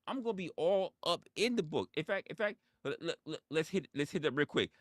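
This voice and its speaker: A man's voice: fairly high pitch, lively intonation, fairly quick, a bit bright, no echo, clean studio sound. A man in his forties hosting a casual livestream monologue, emphatic and profane, often repeating his phrases for effect. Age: 40s. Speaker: livestream host.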